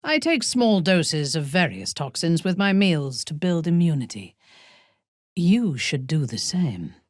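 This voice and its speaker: A woman playing an imperious, ruthless dark elf warrior in a video game, haughty and commanding, with a husky, breathy delivery. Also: low voice, very animated, dry recording, clean studio sound, exaggerated voice. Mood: proud. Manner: haughty, commanding, measured, deliberate.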